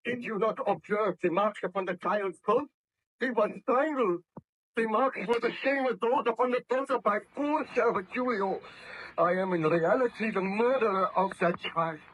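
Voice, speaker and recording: An actor playing an anguished, guilt-ridden baron scientist in old-time radio horror, speaking in a formal, theatrical, declamatory way. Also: male voice, sits high, normal speed, a bit bright, breathy edge, dry recording. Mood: agitated. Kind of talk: 1930s radio horror acting. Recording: noisy old-time radio drama recording.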